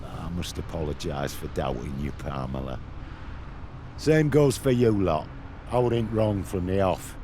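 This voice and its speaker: A man's voice: low-pitched, measured pace, background noise. A man playing a hearty, proud but good-natured village headman in a video game, with a declamatory delivery. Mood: humbled, grateful.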